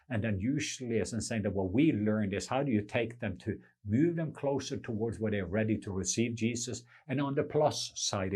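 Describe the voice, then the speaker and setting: A middle-aged man with a Norwegian accent, a non-native English speaker, teaching on his podcast in an earnest, deliberate way with slightly non-native phrasing. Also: on the low side, a little mellow, breathy edge. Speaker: preacher and podcast host. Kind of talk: unscripted teaching monologue.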